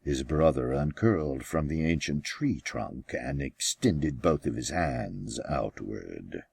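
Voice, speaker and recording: Guy reading an audiobook real slow, husky gravelly voice, super close and dry sound, measured phrasing. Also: rumbling low, lively intonation, a little mellow, no room sound. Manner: deliberate, measured phrasing with pauses. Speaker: author reading own book. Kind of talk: slow scripted audiobook narration.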